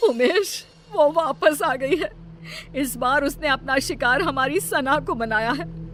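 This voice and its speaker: A woman playing an anxious, doting grandmother in an animated family drama. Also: high-pitched, slow, a little hiss or hum. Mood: worried. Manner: anxious, soothing, evasive. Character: worried, doting grandmother and mother-in-law. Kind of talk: animated family story acting.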